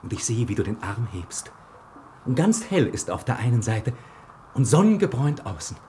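An actor playing an idealistic young suitor in a German radio play, earnest, pleading and declamatory. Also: male voice, mid-range voice, big pitch swings, normal speed, mellow, slight background noise, lightly acted. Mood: earnest, hopeful.

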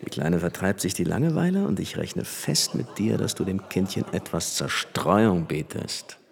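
An actor playing a flippant, worldly young French aristocrat and army officer in a radio play, chatting with easy familiarity. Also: male voice, low voice, sing-song, normal speed, gravelly, full character voice. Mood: worldly, casual. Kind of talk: German radio play dialogue. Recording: long hall reverb.

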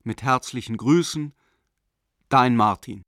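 An earnest family man and official, caught between doubt and hope, in a radio play. He voices his letter reflectively, with deliberate, rhetorical phrasing and a medium pitch. The recording is dry and close to the studio sound. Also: fairly bright tone, no room sound. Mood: proud, affable. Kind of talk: radio drama letter reading.

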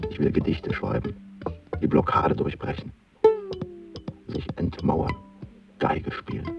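Very deep, gravelly weary idealist. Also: male voice, far from the mic, highs cut off, full character voice. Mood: pained, hushed. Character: weary, idealistic artist voicing his inner diary. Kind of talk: literary radio play monologue.

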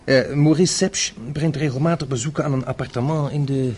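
An actor playing a courteous, self-assured French intelligence officer in a Dutch radio play, speaking formally with small hesitations. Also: male voice, medium pitch, fairly even intonation, a little mellow, breathy edge, natural voice. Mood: composed, confident. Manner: measured, formal, hesitates before answers. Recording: radio play with noisy background ambience.

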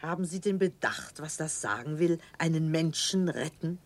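An older actress in a German radio drama playing a severe, devout old aristocrat with a haughty, grave, theatrical delivery. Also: female voice, low voice, animated, normal speed. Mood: grave, bitter.

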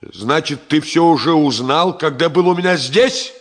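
A man's voice: a little high, moderate pace, natural voice. An actor playing a brusque, agitated male chief engineer in a 1970 Soviet radio play, emotional and abrupt. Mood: angry, anguished. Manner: emotional, abrupt, swinging from hushed to shouting.

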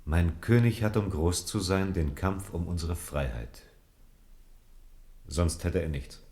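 A steadfast Protestant envoy at court, with a low voice. He speaks in formal, declamatory radio drama style, devout and deeply earnest. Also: mellow. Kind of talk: German radio drama acting.

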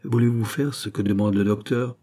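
A man reading a measured, stern king. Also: fairly low pitch, steady tone, mellow, close to an everyday voice. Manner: measured, questioning. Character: measured, authoritative old-regime king.

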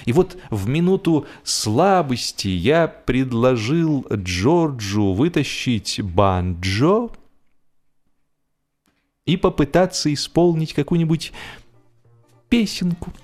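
A man narrating a humorous novel expressively, with a theatrical, deliberate delivery that draws out phrases for drama. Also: medium pitch, a little mellow. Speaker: audiobook narrator.

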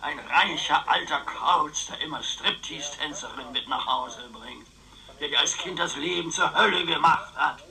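An actor playing a wily, talkative old uncle in a German radio crime drama, excitable, teasing and boastful. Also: male voice, on the high side, moderate pace, vocal fry, exaggerated voice, rough recording. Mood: wry, animated. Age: older. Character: wily, boastful, chatty old uncle, retired wartime planner.

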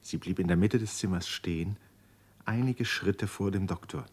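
A man's voice: deep voice, normal speed, darkish tone, slightly rough. A man narrating a German radio play as a grave literary storyteller. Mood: grave, suspenseful. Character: grave male storyteller of a dramatic novel.

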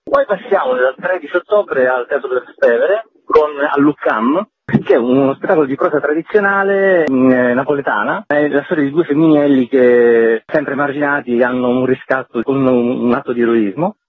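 A man's voice: mid-range voice, normal speed, slightly rough. A man giving explanatory answers as an interview guest, a festival's artistic director. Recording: tinny phone-like line, roomy echo.

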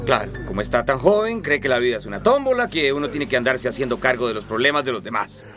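An actor playing a middle-aged hostel owner in a radio soap opera, jovial in public and indignant in private. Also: male voice, mid-range voice, big pitch swings, quick pace, a bit bright. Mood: annoyed. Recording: music bed behind radio drama voices.

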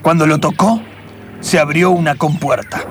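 A man narrating an improvised radio play in dramatic, halting phrases. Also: on the high side, fairly even intonation.

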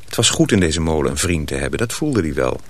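A man's voice: a bass voice, very animated, fast talker. A man narrating a radio drama from a script as a storyteller.

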